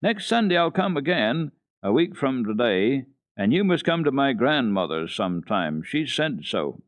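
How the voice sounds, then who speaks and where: A man voicing a friendly young goatherd boy in a storybook reading for old radio, bright and earnest. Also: medium pitch, sing-song, normal speed, dry recording, voice pushed up, natural voice. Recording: dull lo-fi old radio recording.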